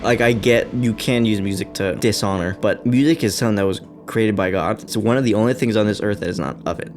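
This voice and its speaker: A man's voice: on the low side, fast, faint music behind. A man in his twenties from Colorado, a podcast guest chatting casually with some rambling and laughs. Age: 20s. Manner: relaxed, rambling, laughs often. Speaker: podcast guest, church graphic designer.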